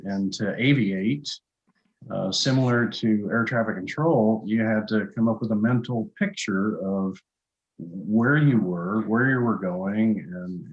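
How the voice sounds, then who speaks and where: An older man explaining his field as an interview guest, halting now and then as he thinks aloud. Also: fairly low pitch, muffled recording. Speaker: guest, avionics industry professional and pilot. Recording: echoey, roomy call audio.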